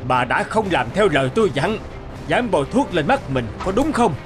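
Stern cartoon avenger, accusing, menacing, animated pitch, music behind. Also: male voice, normal speed. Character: stern, vengeful fairy-tale man punishing a thief. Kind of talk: animated fairy tale acting.

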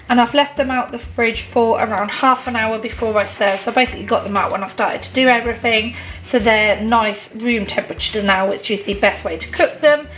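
A woman, talking viewers through a recipe in her home kitchen in a relaxed, chatty way. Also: a little high, low-quality recording. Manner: chatty, relaxed, frequent "um" and "honestly". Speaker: cooking vlogger. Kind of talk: cook-along voice-over and chat to camera. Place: home kitchen and living room.

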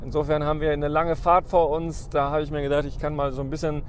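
A man's voice: fairly high pitch, flat delivery, fast. A man, hosting a casual travel vlog while driving and rambling with many ums and restarts. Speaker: vlog host. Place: inside a car driving through snowy forest. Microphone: camera on the dashboard. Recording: faint car noise.

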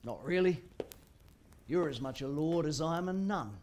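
A man's voice: a little high, lively intonation, moderate pace, darkish tone, a little hiss or hum, natural voice. A man voicing a cheeky young castle guardsman in a game, with a teasing, self-mocking manner.

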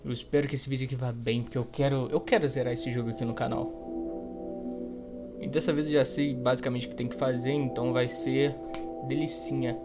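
A man's voice: mid-range voice, little pitch movement, brisk, music in the background. A young man with a Brazilian accent giving playful, slangy, casual gameplay commentary as a YouTuber. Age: young adult. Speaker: gaming YouTuber.

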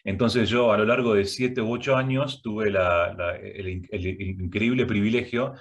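A man's voice: lowish voice, normal speed, muffled recording. A middle-aged man with an Argentine Spanish accent, giving a prerecorded video talk from a home office. He hesitates often, with many filler sounds and restarts. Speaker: guest speaker, arts education director. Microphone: webcam, head and shoulders framing.

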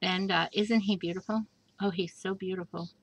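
A woman casually showing a handmade journal page by page, chatty and gushing, with soft asides and plenty of fillers. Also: fairly low pitch, fairly even intonation, moderate pace, gravelly, slightly rough, rough recording. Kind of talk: casual show-and-tell craft walkthrough. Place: indoors at a craft table. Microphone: overhead camera above a craft table.

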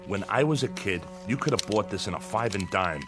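An actor playing a sarcastic, streetwise small-time crook who grumbles and wisecracks in a radio drama. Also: male voice, on the low side, lively intonation, brisk, music in the background. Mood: grumbling.

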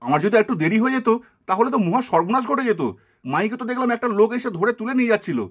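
A man's voice: sits high, lively intonation, very fast. A man voicing a protective, alarmed village rescuer in a puppet cartoon, urgent and emphatic. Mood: worried.

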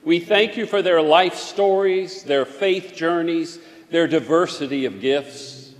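A man, a pastor, leading a measured, solemn prayer and welcoming new church members. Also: on the high side. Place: large church sanctuary. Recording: echoey sanctuary with long reverb.

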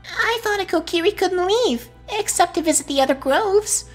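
A woman's voice: very high-pitched, expressive, moderate pace, faint music behind, voice pushed up. A woman voicing a frightened young forest boy who stammers and pleads through tears. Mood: uncertain.

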